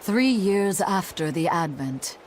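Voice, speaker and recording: An actress playing a learned, unhurried woman scholar of ancient lore in a video game, speaking in a measured way. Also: on the low side, lively intonation, slight background noise. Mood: composed. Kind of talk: expository video game voice acting.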